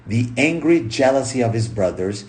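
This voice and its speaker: A man reading a book aloud expressively, in punchy short phrases with dramatic pauses and emphasis. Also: mid-range voice, animated, a little mellow, poor audio. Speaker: audiobook reader.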